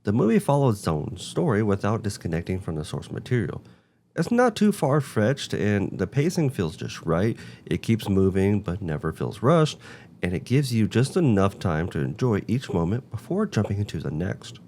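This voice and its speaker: Low-voiced man with lively, swinging intonation. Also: moderate pace, a little hiss or hum. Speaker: host of a video game and film review show. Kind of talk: semi-scripted review narration.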